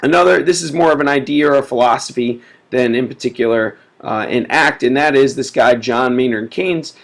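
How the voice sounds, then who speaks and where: A man's voice: mid-range voice, fairly quick, creaky. A man giving a casual recorded history lecture, full of filler words, sick and sniffly. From United States. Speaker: teacher.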